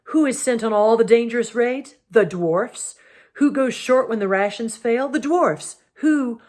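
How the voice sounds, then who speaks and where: A woman's voice: mid-range voice, expressive, normal speed. A woman voicing a bitter, defiant male dwarf in a storybook, arguing with cold, sneering emphasis.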